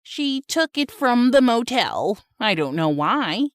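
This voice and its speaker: Woman playing slow, weary old lady. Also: on the high side, big pitch swings, no echo, studio quality. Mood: matter-of-fact. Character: weary elderly grandmother, polite and vague. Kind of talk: animated story dialogue.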